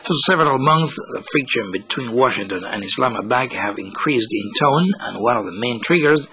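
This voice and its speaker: A man's voice: mid-range voice, expressive, moderate pace, pushed and bright, rough recording. A man reading scripted news commentary as a radio reporter.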